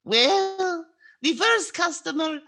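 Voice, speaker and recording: A woman's voice: very high-pitched, slow pace, heavily acted. A woman playing a long-suffering, complaining housewife with inverted phrasing, in 1940s radio comedy style. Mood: droll. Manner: inverted syntax, dramatic deadpan punchlines. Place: indoors on a video call.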